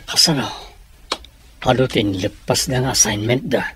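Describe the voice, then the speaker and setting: Dad played by a male actor, gentle and caring, middling voice, radio drama. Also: medium pitch, a little slow, background noise, lightly acted. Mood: tender musing. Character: gentle, caring father tending his children.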